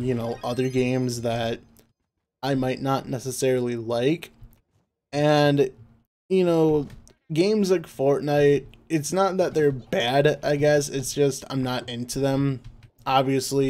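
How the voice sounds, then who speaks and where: Chill young guy, flat monotone, kinda dark mellow voice, lots of you knows and uhs. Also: medium pitch. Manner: laid-back monotone, many fillers. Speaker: gaming YouTuber. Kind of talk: casual gameplay commentary.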